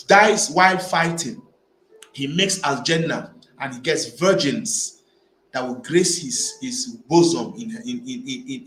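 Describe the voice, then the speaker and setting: A man's voice: fairly high pitch, fairly bright tone. A man from Nigeria giving opinionated vlog commentary, emphatic and given to repeating phrases as he builds his argument. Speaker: vlogger. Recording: echoey room with faint ambient noise.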